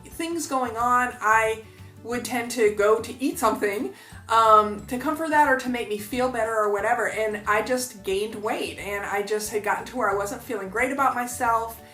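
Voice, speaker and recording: A woman's voice: fairly high pitch, pushed and bright, echoey room. A woman in her fifties vlogging in a chatty, upbeat way, full of fillers and asides to her viewers. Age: 50s. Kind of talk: casual talk to camera.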